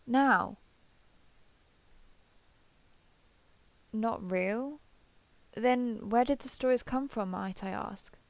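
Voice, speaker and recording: A woman's voice: on the high side, gravelly, no echo. A woman voicing a proud, ancient female dragon in a fantasy roleplay audio, with formal, haughty, archaic phrasing. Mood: indignant, demanding.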